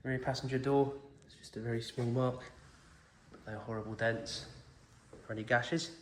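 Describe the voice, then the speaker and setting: A man's voice: mid-range voice, steady tone, normal speed. A man who has a cold, walking round a pick-up and describing its condition in a plain, methodical, reassuring way. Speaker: car salesman. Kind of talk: walk-around vehicle video. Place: outdoors around a vehicle. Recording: echoey, with faint noise.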